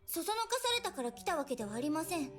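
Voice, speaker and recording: A polite, quietly determined young girl from a visual novel, her voice pitched high and her lines quick. She speaks formally and softly, with faint game music underneath. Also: sing-song. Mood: earnest. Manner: formal, soft-spoken.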